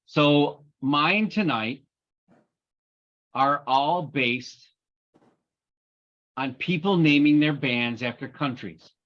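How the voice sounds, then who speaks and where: Wry male podcast host, slightly raised pitch, muffled lo-fi recording. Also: drawn-out words, pauses often. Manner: wry, sarcastic. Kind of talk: casual chat.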